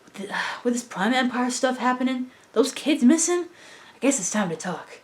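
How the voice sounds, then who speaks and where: A woman's voice: a little high, lively intonation, normal speed, pitched above the performer's own voice, natural voice. A woman voicing a guarded, streetwise man in a casual manner, in a cartoon reading. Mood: resigned. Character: streetwise adult man, guarded old friend with a secret.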